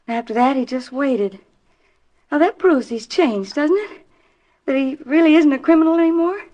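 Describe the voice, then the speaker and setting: An actress playing an anxious, pleading wife in a 1950s radio drama, speaking hesitantly and earnestly. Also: female voice, sits high, moderate pace, dark tone, close to an everyday voice. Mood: anxious, insistent. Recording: dull vintage 1950s radio recording.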